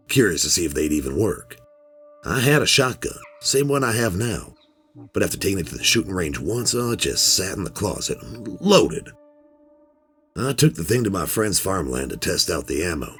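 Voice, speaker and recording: A man's voice: sits low, fairly bright tone, full character voice. A man playing a middle-aged hobbyist who tells a first-person horror story in a folksy, rambling, conversational way. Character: laid-back middle-aged male metal detector hobbyist recounting a strange find. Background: music.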